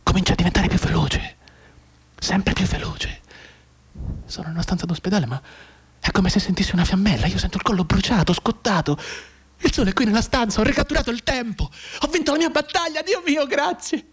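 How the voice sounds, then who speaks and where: Husky bedridden soldier, intense. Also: male voice, sits high, brisk, full character voice. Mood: ecstatic, desperate. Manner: intense, soliloquising, dropping into whispers. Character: bedridden wounded young soldier, feverishly counting time. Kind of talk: theatrical radio drama monologue.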